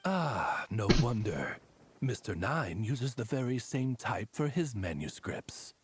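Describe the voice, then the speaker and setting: Very raspy, courtly raven attendant. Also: male voice, medium pitch, big pitch swings, slow, full character voice. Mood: courteous. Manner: formal, ornate, polite. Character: courtly male raven attendant, loyal and theatrical. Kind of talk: English video game voice acting.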